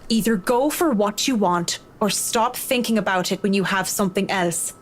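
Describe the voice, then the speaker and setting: A woman's voice: medium pitch, moderate pace, natural voice. An actress voicing a blunt, sardonic, worldly career woman in a visual novel game. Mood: stern, advising.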